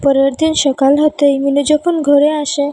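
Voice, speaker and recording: Female storyteller for a kids' cartoon, high-pitched yet gentle-toned, scripted narration. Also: level tone, moderate pace.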